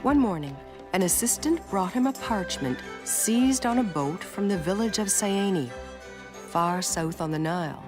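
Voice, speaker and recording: A woman reading a scripted narration for an educational film, telling it in a measured storytelling way. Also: mid-range voice, lively intonation, loud music behind the voice. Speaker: documentary narrator.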